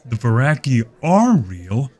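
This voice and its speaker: An actor playing a smug political speechmaker for an alien rights group in an audio drama. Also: male voice, mid-range voice, very animated, slow pace, dark tone, husky, exaggerated voice. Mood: defensive, smug.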